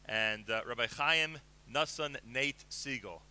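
A middle-aged man hosting a live radio show, enthusiastic and animated, speaking into a headset mic in a broadcast booth. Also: mid-range voice, measured pace, clean tone. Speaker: radio host.

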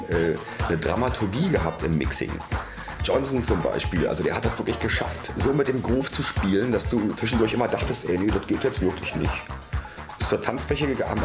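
A man reminiscing casually in vivid, colloquial language, often adding tag questions. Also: on the low side. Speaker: interviewee, techno scene witness. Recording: music bed behind voice. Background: music.

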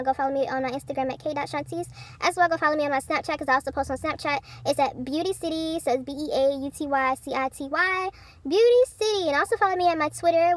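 Young woman, casual vlogger, rambling and chatty, high voice, recorded close on a phone in a car. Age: young adult. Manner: chatty, rambling, many fillers and restarts. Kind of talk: casual vlog chat. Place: parked car. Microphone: phone camera held close.

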